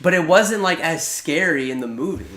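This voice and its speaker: A man's voice: fairly high pitch, animated, moderate pace, roomy sound, a loud crowd. A man hosting a casual gaming podcast, rambling and restarting his sentences. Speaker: podcast host. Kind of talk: casual chat.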